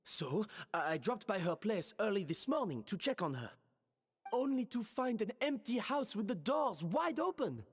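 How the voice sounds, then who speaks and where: A woman voicing an earnest, concerned, responsible young woman in a video game, speaking in measured phrases. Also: medium pitch, big pitch swings, husky, in a large hall, faint music behind. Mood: worried.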